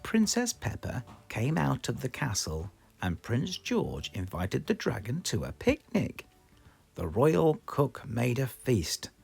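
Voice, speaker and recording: Slow, gravelly British storyteller man. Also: mid-range voice, soft background music. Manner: slow, expressive, sing-song storytelling. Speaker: storybook reader. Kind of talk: children's storybook read-aloud.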